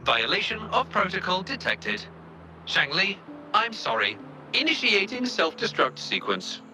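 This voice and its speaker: A man's voice: high voice, sing-song, measured pace, bright, forceful tone, faint music behind, full character voice. A man voicing a dutiful, proud robot assistant in a video game, speaking in stiff, formal announcements. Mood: formal, matter-of-fact.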